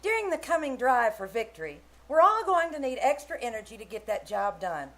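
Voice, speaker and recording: A woman's voice: on the high side, big pitch swings, a little fast, a bit bright. A woman announcer giving an earnest, scripted sales read in the style of a 1940s radio commercial.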